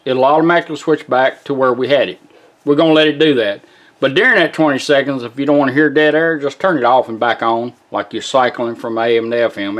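A man's voice: mid-range voice, fairly bright tone. A plain-spoken man casually walking through a hands-on product demonstration step by step. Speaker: radio restorer demonstrating products. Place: indoor workshop. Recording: music from demo radio behind voice.

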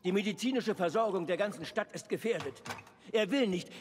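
An actor playing an indignant, alarmist Victorian gentleman villain in a video game. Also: high-pitched, lively intonation, fairly quick. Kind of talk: German video game dub acting. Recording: long hall reverb, faint noise.

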